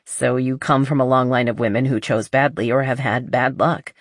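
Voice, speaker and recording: A woman voicing a blunt, honest, skeptical but loyal best friend in an audiobook, with a dry teasing manner and husky voice. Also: very deep voice, steady tone, normal speed, dry recording. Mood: frank.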